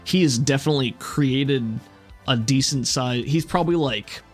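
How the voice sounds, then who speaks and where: A man's voice: medium pitch, moderate pace. A man narrating a tabletop roleplaying game as game master, describing scenes and calling for rolls. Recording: music behind the voice. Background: background music.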